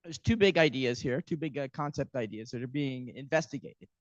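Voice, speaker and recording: A man's voice: medium pitch, fairly quick, dry recording. A man giving a workshop lecture, hesitant with many ums and restarts, while losing his voice. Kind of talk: academic workshop talk. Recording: dull, muffled lecture audio.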